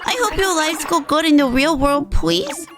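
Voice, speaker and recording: A woman voicing a childish, sulky but good-hearted small AI boss from a video game, with a halting, earnest manner. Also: sits high, over music. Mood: wistful. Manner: halting, earnest, self-correcting. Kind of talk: reading video game dialogue in character.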